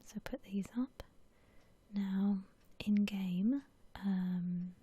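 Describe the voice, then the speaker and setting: A woman doing whispered ASMR commentary over a video game, gentle and unhurried in a breathy whisper. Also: medium pitch, fairly even intonation. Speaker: video game streamer narrating her building session.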